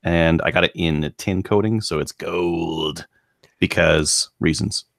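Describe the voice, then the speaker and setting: A man's voice: low voice, steady tone, a little slow, vocal fry, fairly bright tone, dry recording. A man co-hosting a podcast chat, explaining things plainly with dry humor. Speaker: podcast co-host. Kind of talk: casual chat.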